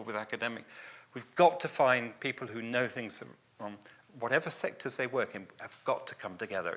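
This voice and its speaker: A man's voice: fairly low pitch, pushed and bright. A man speaking on a discussion panel, rallying the room with repeated calls to act and many "you know" fillers. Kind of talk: passionate panel talk. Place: event hall with audience.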